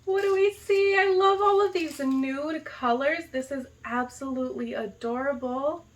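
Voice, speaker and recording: A young woman from the United States opens a gift box in a relaxed vlog. Her voice is a little high and she talks slowly, lingering on her delighted reactions. She gushes over every item, tossing in plenty of casual "like". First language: not Arabic. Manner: gushing, enthusiastic, lots of "like" and "so cute". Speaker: vlogger, high school teacher.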